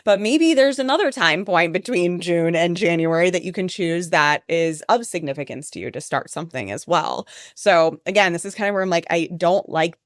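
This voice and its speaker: A woman's voice: fairly low pitch, lively intonation, normal speed, hardly any pauses, no room sound, studio quality. A woman researcher talking as a podcast guest, explaining ideas in a chatty way with many fillers. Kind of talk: conversational podcast interview.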